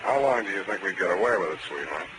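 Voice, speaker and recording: An actor playing a cynical, unflappable private detective with a dry, sardonic delivery in a 1940s radio crime drama. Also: male voice, low voice, expressive, fast. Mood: dry, skeptical. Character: wisecracking hard-boiled male private detective, cynical, unflappable. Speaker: detective narrator. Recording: noisy old 1940s radio broadcast.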